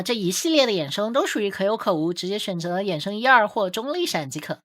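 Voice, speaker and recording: A woman's voice: mid-range voice, fairly bright tone. A woman reading scripted commentary for a game guide video as its host.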